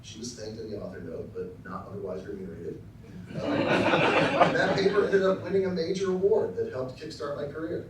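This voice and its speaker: A man's voice: medium pitch, a little fast, far from the mic, heavy background noise. Man giving a speech in an echoey hall. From Texas, US. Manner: dry, self-deprecating, with frequent ums and uhs. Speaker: professor giving an acceptance speech. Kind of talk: formal speech with light humor. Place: large lecture hall.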